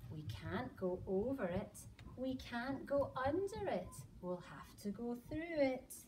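A woman in her thirties reading a picture book to young children in an expressive, exaggerated way with drawn-out words. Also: on the high side, slight background noise, poor audio. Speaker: children's storyteller, early years educator. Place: indoor nursery classroom. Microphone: camera or phone a few metres away.

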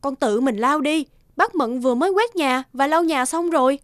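Actress as a strict, high-voiced cartoon mother. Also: moderate pace, no room sound, studio quality, natural voice. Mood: stern. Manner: firm, reproachful. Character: strict middle-aged mother, firm and scolding. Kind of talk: cartoon dubbing.

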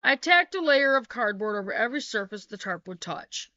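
A woman's voice: on the high side, very animated, fairly quick, sharp tone, no echo. A middle-aged woman, a homesteading vlogger, reads a practical how-to narration in a small wood-paneled room, with small self-corrections. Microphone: laptop webcam. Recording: dull, lo-fi webcam sound.

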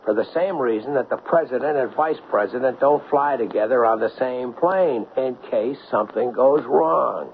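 A man's voice: mid-range voice, moderate pace, hardly any pauses, close to an everyday voice. A man playing an impulsive, defiant cop on the run in a 1970s radio drama, talking urgently and insistently. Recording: dull, faintly noisy 1970s radio recording.

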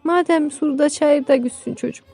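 Storybook peasant wife, played by a woman: concerned, practical, rustic, raised pitch, roomy sound. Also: moderate pace, gravelly, mellow, faint music behind.

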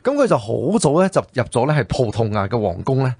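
A man's voice: medium pitch, very animated, fast talker, vocal fry, a little mellow, husky, dry recording. A man with a Hong Kong Cantonese accent co-hosting radio, explaining history conversationally in short phrases linked by particles. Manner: frequent filler particles between short phrases. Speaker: radio co-host. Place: radio studio.

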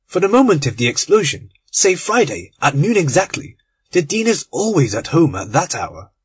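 A man's voice: a little high, sing-song, normal speed, breathy edge, full character voice. A man doing a polite young English lord. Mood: earnest. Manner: polite, earnest.

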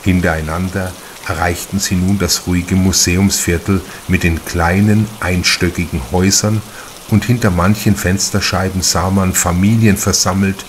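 A man's voice: low voice, level tone, moderate pace, slight background noise. A man reading a crime story as a scripted audiobook narration.

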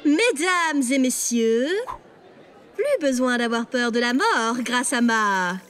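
A woman's voice: a little high, expressive, slow pace, over music. A woman playing a showy young witch inventor in a cartoon, presenting theatrically. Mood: proud, showy.

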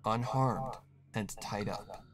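A man's voice: lowish voice, steady tone, slow, crowd noise. A man reading a scripted horror story as the narrator. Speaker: fan fiction narrator.

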